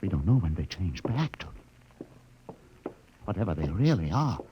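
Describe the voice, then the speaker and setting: A man's voice: low voice, very animated, moderate pace, warm tone, a little hiss or hum, rough recording. An actor playing a frightened male spaceship captain in an intense, suspenseful 1950s radio drama. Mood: dread, grim suspicion. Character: frightened spaceship captain realizing his crew is trapped. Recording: vintage 1950 radio recording.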